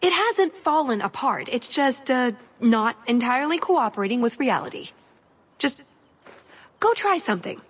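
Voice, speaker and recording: A woman's voice: high voice, very animated, moderate pace, slightly rough, full character voice. An actress playing a young elf thief in a video game, wry, sarcastic and restless in her delivery. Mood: wry, exasperated.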